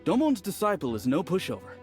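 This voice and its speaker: A chatty village storyteller in a video game. His fairly high voice rises and falls in a lively way. He is enthusiastic and easily impressed, with faint music underneath. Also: brisk. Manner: animated storytelling.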